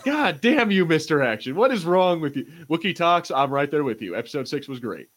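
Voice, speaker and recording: A man's voice: a little high, sing-song, fairly quick, a bit bright. A man co-hosting a podcast in casual pop-culture banter, speaking informally and swearing freely. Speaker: podcast host.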